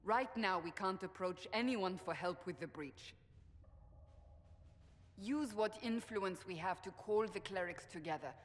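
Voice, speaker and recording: A woman's voice: mid-range voice, unhurried, big-room sound, lightly acted. An actress playing a resolute, pragmatic warrior woman in a video game, blunt and decisive. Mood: determined. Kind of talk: dramatic video game dialogue.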